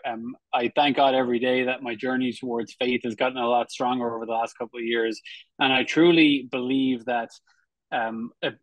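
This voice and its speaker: A man's voice: medium pitch, no echo, low-quality recording. A man in his forties hosting a conversational interview over a video call, chatty and affirming. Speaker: show host, interviewer. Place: room at home, video call.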